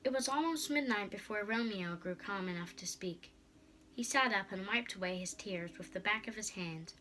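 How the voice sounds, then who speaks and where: A woman's voice: medium pitch, normal speed, bright, forceful tone. A female storyteller reading a storybook aloud in a dramatic narrating style.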